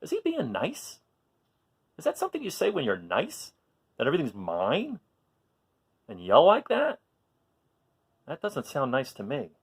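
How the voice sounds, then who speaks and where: A man reading aloud to young children, expressive, with chatty asides and questions put to his listeners. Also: mid-range voice, a little slow, pauses often, breathy edge. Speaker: children's storytime reader.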